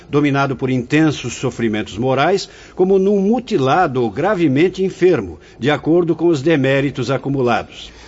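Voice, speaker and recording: A man's voice: fairly high pitch, a little mellow, breathy edge. A Brazilian man playing a wise, fatherly spirit director in a radio drama, speaking in a solemn, formal, preaching way. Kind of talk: Portuguese-language radio drama acting. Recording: dull lo-fi radio drama audio.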